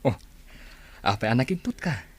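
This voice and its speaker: Husky young Filipino suitor played by an actor. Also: male voice, medium pitch, big pitch swings, normal speed. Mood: wooing. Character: persistent village suitor who likes his drink. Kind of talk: Ilocano radio comedy drama.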